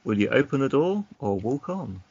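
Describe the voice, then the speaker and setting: A man's voice: medium pitch, big pitch swings, moderate pace, gravelly, darkish tone, husky, no room sound, lo-fi sound. A man narrating an adventure gamebook as game master, casually, breaking off into chatty asides.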